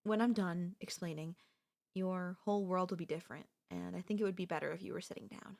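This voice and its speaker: A woman's voice: on the low side, fairly even intonation, a little fast, gravelly, darkish tone. A woman playing an obsessive, doting yandere stalker in an ASMR audio roleplay, speaking in a coaxing, theatrical way. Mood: concerned, explaining. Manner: theatrical, coaxing, addresses listener as darling. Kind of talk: ASMR audio roleplay, girlfriend-style monologue.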